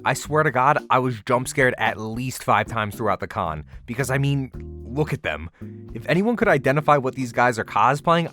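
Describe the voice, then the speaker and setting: A man's voice: medium pitch, fairly bright tone. A man narrating a convention vlog in a casual, slangy voice-over with wry, playfully exaggerated commentary. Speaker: vlogger narrating a convention walkthrough.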